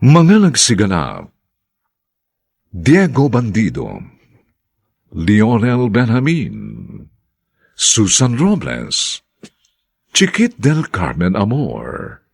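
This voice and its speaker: A male radio drama announcer with a low, husky, creaky voice. He narrates dramatically, with hugely varied intonation. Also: huge pitch swings, very slow, long pauses.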